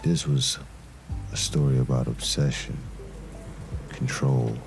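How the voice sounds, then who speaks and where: A man playing a brooding detective, narrating a crime drama in a somber, deliberate noir style with dramatic pauses. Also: extremely low pitch, steady tone, gravelly, heavily acted. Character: brooding male detective narrating a murder case. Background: music.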